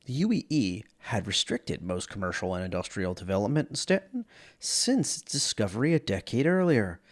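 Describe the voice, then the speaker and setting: Expressive male narrator with a gravelly edge. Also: medium pitch. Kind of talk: dramatic reading of science fiction lore.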